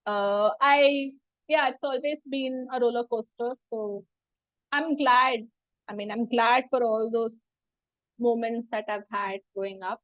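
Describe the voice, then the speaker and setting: A woman's voice: a little high, fairly even intonation, unhurried, dry recording. Hesitant woman, dull lo-fi sound. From India. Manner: hesitant, many fillers and restarts. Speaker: psychologist presenting about herself. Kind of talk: casual self-introduction talk.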